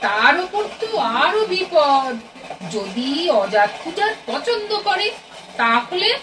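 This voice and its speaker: A woman's voice: sits high, very animated, slow pace, distant mic, background noise, heavily acted. A woman playing an anxious, chatty middle-aged Bengali mother in an audio play, fretful and exclamatory with a homely scolding manner. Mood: fretful, fearful.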